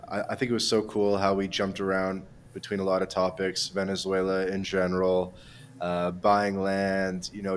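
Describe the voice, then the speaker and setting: A young man hosting a podcast, talking in an easygoing, friendly way with relaxed filler words. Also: low-pitched, slight background noise. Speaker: podcast host. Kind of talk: casual interview chat.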